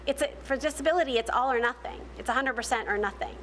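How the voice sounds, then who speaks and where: Woman panelist, fairly high voice, explanatory and halting, faint room hum behind. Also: fairly bright tone. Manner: explanatory, many restarts and fillers. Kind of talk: panel discussion answer.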